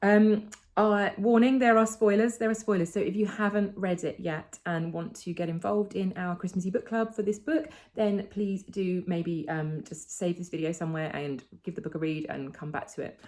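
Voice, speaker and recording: A woman's voice: fairly low pitch, steady tone, moderate pace, a little mellow. A British woman chatting casually and enthusiastically as she hosts a book club review. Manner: enthusiastic, conversational.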